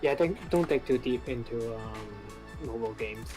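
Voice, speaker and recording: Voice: medium pitch, normal speed, over music. A co-streamer on a call, chiming in with offhand, laid-back remarks. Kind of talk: casual chat.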